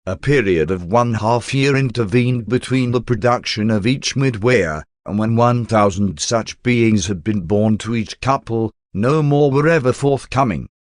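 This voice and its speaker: Male audiobook-style narrator, medium pitch, measured and formal delivery, dry studio-like sound with no room echo. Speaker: narrator reading a religious text aloud.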